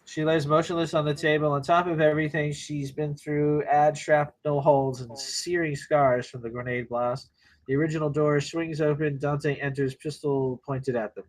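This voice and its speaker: Slightly high-voiced man narrating a screenplay. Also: level tone, moderate pace, low-quality recording. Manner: terse, present-tense delivery. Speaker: narrator reading stage directions. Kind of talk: screenplay table read narration.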